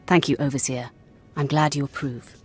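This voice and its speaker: A young dark-side apprentice in a video game with a notably low voice. She is cool and imperious, issuing threats with sardonic calm. Faint music sits behind her. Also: normal speed, slightly rough. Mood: formal. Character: cold, commanding female Sith acolyte, merciless. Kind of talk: video game cutscene acting.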